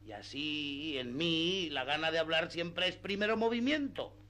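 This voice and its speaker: A man's voice: a little high, measured pace, bright, forceful tone. A man playing a simple, outspoken, good-hearted peasant squire in a radio drama, rustic and deferential yet cheeky. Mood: sheepish, stubborn. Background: faint background music.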